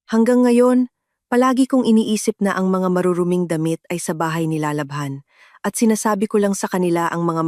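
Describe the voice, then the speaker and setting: Casting: female narrator for dramatic first-person audio stories, slightly low voice, studio-dry sound. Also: a little mellow, no echo. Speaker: story narrator.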